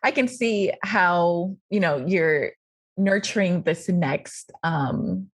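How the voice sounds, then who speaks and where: A woman's voice: fairly low pitch, slow pace, no echo. A woman hosting a podcast, upbeat and enthusiastic, greeting her guest and asking friendly questions. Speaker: podcast host.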